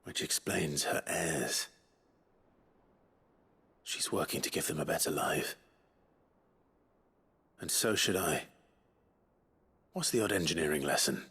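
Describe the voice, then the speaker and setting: An actor playing a calm, kindly man who speaks gently and patiently in a video game. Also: extremely low pitch, breathy edge, heavily acted. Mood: sober, reassuring. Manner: measured, gentle, encouraging. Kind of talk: video game dialogue acting.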